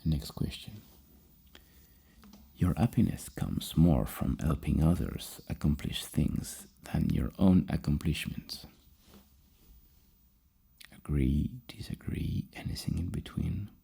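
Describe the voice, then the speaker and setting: A man doing a soft-spoken ASMR roleplay as a psychologist, speaking in a halting, rambling way and often dropping into a whisper. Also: animated. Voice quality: very deep, gravelly, breathy. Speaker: ASMR roleplayer playing a psychologist giving a personality questionnaire.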